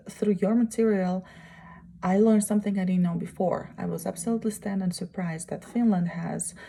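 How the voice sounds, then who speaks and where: Husky low-voiced woman. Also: steady tone, warm tone. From Uzbekistan. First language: Russian. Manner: halting, searching for words, frequent pauses. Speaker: student presenting a course project. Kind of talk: unscripted spoken presentation.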